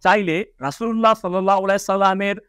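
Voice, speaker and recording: A man with a high-pitched voice presents an Islamic educational talk. He speaks in a formal, instructive way, addressing viewers and citing religious texts. The sound is dry, with very little room echo. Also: fairly even intonation, fast talker, fairly bright tone, clear, resonant tone. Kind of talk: religious lecture to viewers, citing hadith and scripture.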